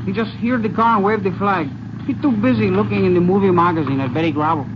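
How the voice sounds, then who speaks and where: A man's voice: sits high, quick pace, natural voice. An actor playing a talkative local man who speaks in simple broken English, in 1950s radio western style. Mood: chatty, dismissive. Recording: muffled, noisy old radio recording.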